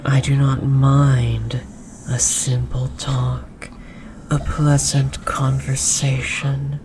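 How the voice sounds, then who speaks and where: Casting: ominous forest deity played by an actor for audio roleplay, extremely slow, breathy whispering, gently menacing and possessive, over noisy ambience. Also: mid-range voice, flat delivery. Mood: intrigued, gentle. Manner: slow, solemn, archaic formal phrasing. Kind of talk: scripted roleplay audio drama monologue.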